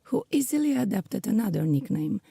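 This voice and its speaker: A woman with a husky, creaky voice reading scripted story narration for an audio drama. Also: mid-range voice, very animated, fairly quick, warm tone, no room sound, studio quality.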